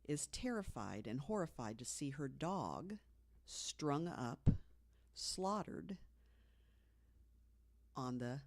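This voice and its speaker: A woman on a podcast, casually telling an urban legend, with lots of "you know" and hushed tones for the scary moments. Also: rumbling low, big pitch swings, slow, long pauses. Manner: chatty storyteller, fills with "you know", drops to hushed tones for the scary parts. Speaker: podcast co-host. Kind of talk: casual storytelling chat.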